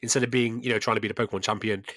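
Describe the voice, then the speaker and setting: Male podcast co-host, quick and excitable, lowish voice, casual gaming chat, close dry sound. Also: no room sound. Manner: enthusiastic, tags statements with "right?". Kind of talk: casual chat about video games.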